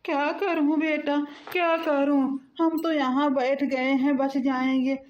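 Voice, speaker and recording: Lamenting village uncle, cartoon, woman performer: slow, repetitive, distraught wail, muffled lo-fi recording. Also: high-pitched, little pitch movement. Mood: grieving. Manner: wailing, repetitive.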